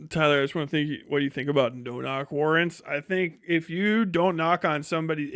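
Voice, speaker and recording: Blunt American man, higher-pitched voice. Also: a bit bright, smooth, dry recording, studio quality. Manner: blunt, opinionated, sweary ranting. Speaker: livestream host. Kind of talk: casual, profane livestream commentary.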